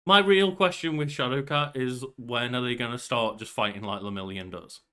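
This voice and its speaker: A man's voice: medium pitch, normal speed, bright, forceful tone, clean tone. A man, around his thirties, musing and rambling casually as a podcast co-host. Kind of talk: casual banter.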